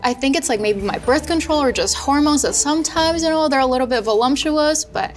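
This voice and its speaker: A woman talking in a laid-back, soft-spoken way in a group chat. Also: high-pitched, a little fast. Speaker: contestant. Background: music bed.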